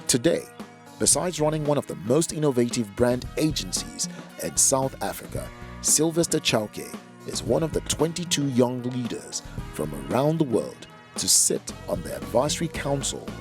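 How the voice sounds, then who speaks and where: A man hosting an interview show, reading a scripted introduction of his guest. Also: mid-range voice. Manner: upbeat, frequent short affirmations. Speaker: interview host.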